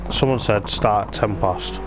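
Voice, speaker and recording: A man's voice: lowish voice, normal speed, low-quality recording. A man hosting a casual sim racing livestream as commentator, chatty and self-mocking, his strained voice starting to give out. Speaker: livestream host and race commentator.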